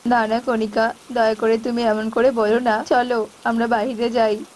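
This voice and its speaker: Woman playing male cartoon bird, bullying, deceitful, scolding, raised pitch, faint sizzle behind. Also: little pitch movement, unhurried, darkish tone, a little hiss or hum, close to an everyday voice. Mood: pleading. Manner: scolding, wheedling when in need. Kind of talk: animated folk tale voice acting.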